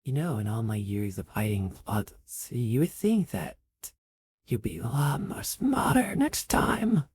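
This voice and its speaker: A performer voicing a slow, threatening figure in a game. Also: medium pitch, big pitch swings, darkish tone, a little hiss or hum. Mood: menacing.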